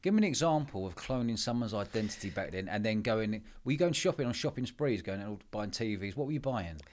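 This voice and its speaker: A man's voice: on the low side, fairly quick, a little hiss or hum. A man hosting a podcast, upbeat and conversational, often calling his guest mate. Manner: upbeat, lively, says mate a lot. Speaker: podcast host.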